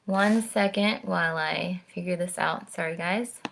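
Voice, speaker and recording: A woman, around her thirties, speaking to young children in an expressive storytime style, slowly and directly, recorded at home on a device microphone. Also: on the low side, gravelly, a little hiss or hum. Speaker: storytime presenter, orchestra musician. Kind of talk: children's read-aloud and friendly chat to camera. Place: at home, indoors.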